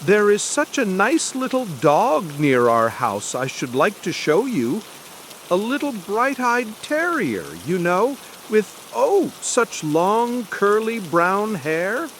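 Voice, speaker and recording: A man's voice: high-pitched, big pitch swings, slow pace, fairly bright tone, slight background noise, voice pushed up, exaggerated voice, rough recording. A man voicing a polite, eager, chatty little girl in a storybook reading, soothing and apologetic. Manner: soothing, hesitant, gushing.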